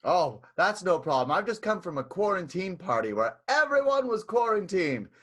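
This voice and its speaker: Very high male voice, bright, excitable clingy fellow acted by a man, raspy, gushing, radio soap. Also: moderate pace, full character voice. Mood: cheery, oblivious. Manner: gushing, eager. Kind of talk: improvised radio soap opera acting.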